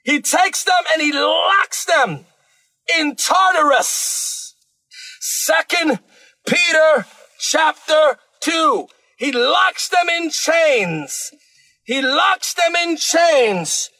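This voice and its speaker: A male preacher delivering a fiery sermon, shouting with fervent, animated energy and asking and answering his own questions. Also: extremely high pitch, extremely slow.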